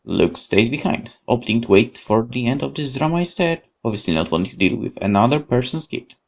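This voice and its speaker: Polish-native man, let's play host, reads a horror story game aloud, gravelly, lively intonation, corrects himself. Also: medium pitch, a little fast. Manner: lively reading with self-corrections and asides. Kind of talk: reading a horror visual novel aloud.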